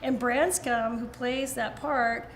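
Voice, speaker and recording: A woman's voice: on the high side, steady tone, slow pace, a little hiss or hum. A woman actor chatting casually in an interview about her film role. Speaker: actress interviewed as cast member.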